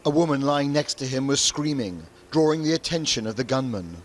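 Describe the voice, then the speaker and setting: A man narrating a news report calmly and gravely, also interviewing witnesses. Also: medium pitch, fairly quick, slight background noise. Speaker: foreign correspondent.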